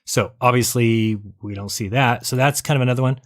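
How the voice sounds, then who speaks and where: A man's voice: mid-range voice, steady tone, a little fast. A man hosting a live online show in a casual, rambling and blunt way, thinking aloud as he goes. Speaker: host of a live online debate and call-in show. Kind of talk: casual, rambling livestream chat, sometimes reading viewer comments.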